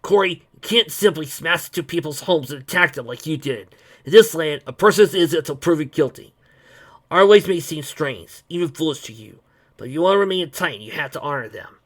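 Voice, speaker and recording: A man's voice: fairly high pitch, expressive, a little fast, a bit bright, pitched above the performer's own voice. A man voicing an earnest, righteous young superhero team leader, emphatic and scolding. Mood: stern reproach.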